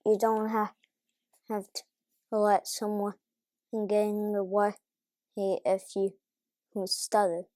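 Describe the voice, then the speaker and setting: A woman speaking slowly and deliberately in a documentary interview. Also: medium pitch, flat delivery, darkish tone, no echo, studio quality.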